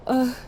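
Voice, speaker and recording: An actress playing a gentle mother with a soft, hesitant way of talking to her child in an audio drama. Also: slight background noise.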